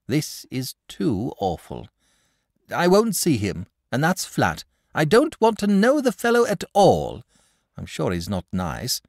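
A man voicing a lazy, gentle, cultured male dragon in a storybook reading, languid and unhurried. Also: mid-range voice, huge pitch swings, creaky, no room sound, clean studio sound, voice pushed up, heavily acted. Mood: plaintive. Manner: languid, unhurried, mildly plaintive.

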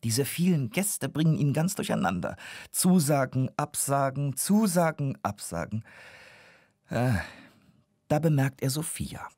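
A man's voice: mid-range voice, expressive, unhurried, a little mellow, no echo, clean studio sound. A man giving scripted narration for a children's audio drama.

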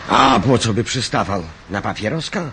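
A man's voice: on the low side, expressive, moderate pace, fairly bright tone, a little hiss or hum. An actor playing a suspicious, fearful male passenger in a radio drama, firing short insistent questions and repeating himself. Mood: suspicious, hushed.

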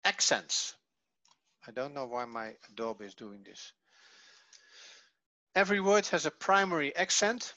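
Bright-voiced man teaching slowly. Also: a little high, big pitch swings, dry recording. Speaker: Hebrew teacher. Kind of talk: online lesson, reading and explaining a textbook. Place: online video call.

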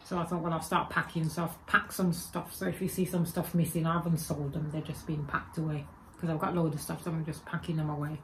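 A woman's voice: low-pitched, level tone, brisk, fairly bright tone, slight background noise, rough recording. A woman in her forties with a Birmingham accent carrying Jamaican and Egyptian traces, chatting to camera at home in a rambling, self-correcting way. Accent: Birmingham English with Jamaican and Egyptian traces. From England. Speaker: vlogger. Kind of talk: casual chat to camera. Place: home room with spooky shelves, indoors. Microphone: webcam or phone camera at desk.

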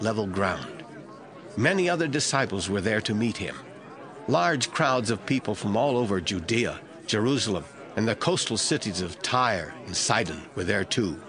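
A man narrating a scripture audio drama from a script in a deliberate storytelling manner. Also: fairly low pitch, a bit bright. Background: faint music.

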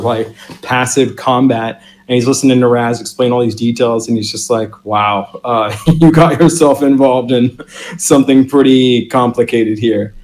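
A man's voice: mid-range voice, a little mellow. A man playing a tabletop roleplay game, describing his character in a loose, rambling way full of "like". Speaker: player.

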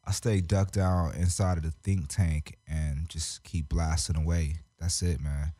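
A young man from Japan chats on a radio show in a deep, mellow voice, drawing out his words in relaxed slang. His voice sits close and dry on a studio boom mic. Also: slightly rough, no room sound. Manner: laid-back, slangy, drawn-out phrases. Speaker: rapper and artist guest. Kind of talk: casual interview chat. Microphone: studio mic on boom arm.

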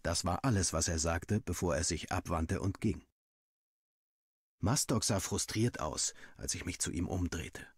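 A man reading a first-person story aloud in an expressive, scripted storytelling style, with a husky voice. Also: deep voice, a little slow, no echo, studio quality.

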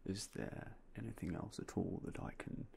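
A young man whispering in a polite, unhurried way as a game store clerk in an ASMR roleplay, close to a binaural mic. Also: low voice, steady tone, gravelly, a little hiss or hum. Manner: soft, polite, unhurried shopkeeper talk with fillers. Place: indoors in front of a game store backdrop. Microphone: binaural mic close to the face.

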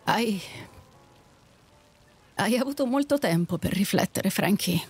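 A woman's voice: mid-range voice, lively intonation, takes it slowly, husky, heavily acted. A woman acting a resolute, generous, blunt young lady passenger in an audio drama. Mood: resolute, gentle.

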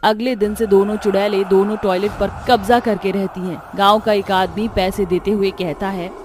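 A woman's voice: medium pitch, fairly even intonation, moderate pace. A woman narrating a scripted cartoon horror story as its storyteller. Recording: music behind the voice.

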